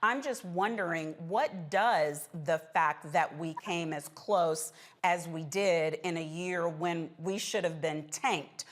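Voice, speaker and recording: A woman's voice: on the low side, slow. A woman moderating an unscripted political discussion, putting pointed questions to panelists. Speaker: panel moderator and show host.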